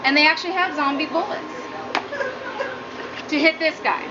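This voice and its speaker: High-pitched woman, nervous giggly public speaker, humorous student speech, dull audio with clear background noise. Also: moderate pace. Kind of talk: humorous prepared classroom speech. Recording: muffled low-quality audio, noticeable background noise.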